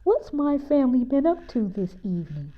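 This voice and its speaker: A woman's voice: big pitch swings, normal speed, noisy background. A woman voicing an affectionate, easygoing father in a children's story read-aloud. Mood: affectionate, interested. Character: affectionate, easygoing father greeting his family. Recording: muffled, low-fidelity audio.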